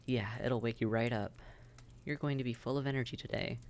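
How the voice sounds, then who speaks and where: A man's voice: mid-range voice, steady tone, a little fast, a little mellow, a little hiss or hum, close to an everyday voice. A man playing a friendly, worldly vampire innkeeper who chats and gives reassuring advice straight to the listener in a roleplay audio drama. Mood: friendly, teasing. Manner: chatty, reassuring, advising.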